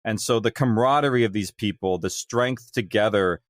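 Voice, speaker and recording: A man's voice: fairly low pitch, normal speed, no room sound. A man around his thirties or forties, explaining his creative work as a guest in an interview. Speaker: podcast guest, audio drama creator. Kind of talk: interview conversation, explanatory storytelling.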